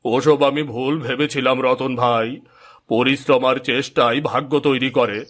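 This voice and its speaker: An actor voicing a ruined, remorseful village husband in a moral-story cartoon, lamenting melodramatically. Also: male voice, mid-range voice, steady tone, normal speed, fairly bright tone, close to an everyday voice.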